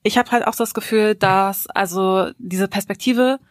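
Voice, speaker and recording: A woman's voice: medium pitch, normal speed, dry recording, clean studio sound. A woman speaking as a panel guest, thoughtful and analytical, measuring her words. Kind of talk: panel discussion.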